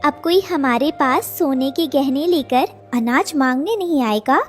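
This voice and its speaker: A woman's voice: high-pitched, a little slow, faint music behind. A woman voicing a jealous, vain, complaining female crow in a children's cartoon story. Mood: jealous, scornful. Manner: complaining, scornful.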